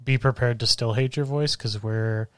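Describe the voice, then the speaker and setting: Deep-voiced man, rambling casual podcast host. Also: steady tone, normal speed, fairly bright tone, clear, resonant tone, no echo, studio quality. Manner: rambling, self-deprecating, loose with fillers. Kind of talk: casual chat. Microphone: microphone through a soundboard.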